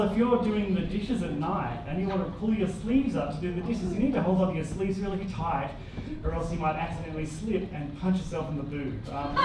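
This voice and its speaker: A woman in her forties with a deep voice, performing a stand-up comedy set with a dry, halting delivery full of ums. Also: distant mic. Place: comedy club stage with live audience. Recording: echoey room, mic from afar, loud crowd noise.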